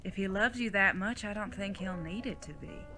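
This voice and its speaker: A woman's voice: medium pitch, moderate pace, sharp tone, soft background music. A woman playing an older retired outlaw mother in an audio drama, folksy, plain-spoken and teasing, with affection underneath. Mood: reassuring, frank.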